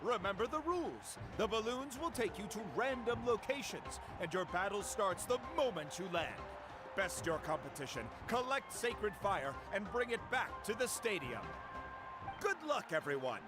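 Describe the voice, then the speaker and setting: A man's voice: big pitch swings, moderate pace. A man voicing an enthusiastic arena announcer in a video game, talking like a showman to a crowd. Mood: hyped. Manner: booming, showman. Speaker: tournament announcer. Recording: game music behind.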